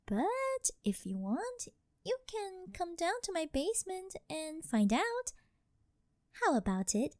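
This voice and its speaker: A woman's voice: very high voice, huge pitch swings, slow pace, a little mellow, no echo, clean studio sound, full character voice. A woman playing a rich, possessive, doting older girlfriend in an ASMR roleplay, coy, cutesy and teasing as she sweet-talks.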